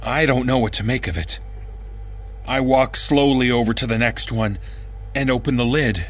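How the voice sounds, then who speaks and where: A man reading a first-person horror story in a measured, dramatic way, as an audiobook narrator. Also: medium pitch, a little hiss or hum.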